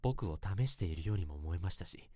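A man's voice: low-pitched, animated, fast talker, dark tone, no room sound. A man acting in a radio drama as a self-conscious young man, earnestly and politely recounting an awkward romantic memory in a confessional monologue. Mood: hesitant, tense.

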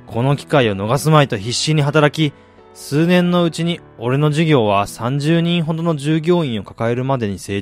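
A man acting in a radio drama as a driven businessman and husband, telling his life story in a measured, reflective way. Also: mid-range voice, close to an everyday voice. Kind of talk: radio drama monologue, literary narration. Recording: music bed behind the voice.

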